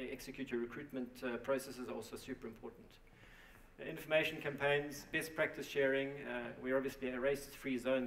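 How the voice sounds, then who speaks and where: Man presenting at a conference, halting with lots of fillers, echoey hall, mic a bit far off. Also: medium pitch. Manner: halting, many fillers and restarts. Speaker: company presenter.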